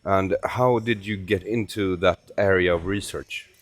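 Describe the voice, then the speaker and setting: A man hosting a conversational interview, asking short questions with hesitant pauses partway through. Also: deep voice. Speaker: podcast host, interviewer.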